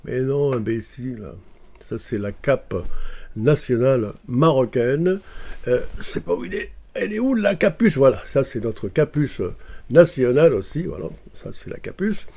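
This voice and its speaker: A man's voice: medium pitch, animated, moderate pace, creaky, mellow. A man from Morocco, talking casually to camera and hesitating often with fillers and restarts. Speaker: video commentator.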